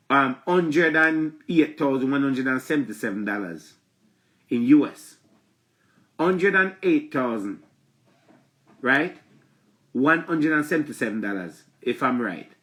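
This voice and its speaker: A man in his forties with a Jamaican accent, hosting a live talk stream from a small room with a stuffy nose, chatty and repetitive. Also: mid-range voice. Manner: chatty, repetitive, animated when addressing viewers. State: stuffy from allergies. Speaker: live show host. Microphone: phone camera close up.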